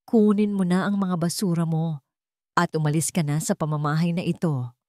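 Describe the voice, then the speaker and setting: A cruel, wealthy older matriarch, acted by a woman narrator in a dramatic audio story. She speaks in a lowish voice, commanding and scornful, recorded very dry and close. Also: fairly even intonation, normal speed, dark tone, dry recording, close to an everyday voice. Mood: angry, commanding. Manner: commanding, contemptuous. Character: haughty, cruel rich older matriarch, scornful mother-in-law.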